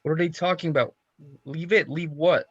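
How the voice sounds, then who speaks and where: A man's voice: a little high, normal speed, natural voice. A man playing a tender, worried man facing death in a horror script reading. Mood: confused, worried. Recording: dull, muffled sound.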